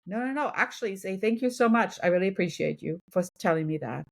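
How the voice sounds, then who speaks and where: A woman's voice: medium pitch, expressive, quick pace, creaky. German-accented woman coach in conversation. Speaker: business and wellness coach, podcast guest. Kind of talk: conversational interview, motivational advice.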